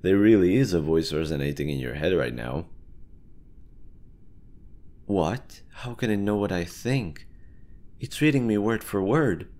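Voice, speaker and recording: Man voicing a smug, low-pitched parasite creature. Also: animated, a little slow, long pauses, a little mellow. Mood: mocking. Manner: casual, teasing, conversational. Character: snarky, smug talking brain-eating amoeba parasite. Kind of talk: audio roleplay monologue to the listener.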